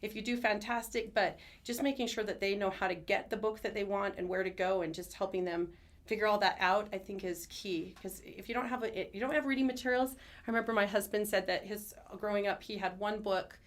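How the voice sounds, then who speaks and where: A middle-aged woman speaks as a podcast guest in a conversational way. She is earnest and enthusiastic, with a medium pitch and a rapid, chatty flow. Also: steady tone. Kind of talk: conversational interview answers. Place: studio.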